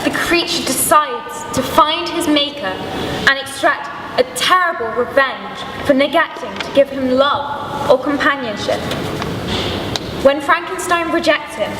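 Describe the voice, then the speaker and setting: A woman's voice: sits high, expressive, a little slow. A young woman delivering scripted narration as a storyteller. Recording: echoey church hall, distant mic, noisy.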